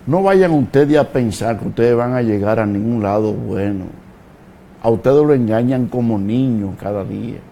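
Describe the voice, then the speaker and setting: A man preaching a fiery sermon, slow and deliberate, breaking into sudden loud shouted peaks. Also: medium pitch, creaky, a little hiss or hum.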